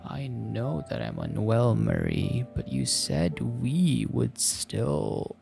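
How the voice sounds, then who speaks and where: A man voicing an ailing, bewildered, lovesick husband in a visual novel, slow, breathy and pleading. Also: medium pitch, huge pitch swings, a little mellow, faint music behind, heavily acted.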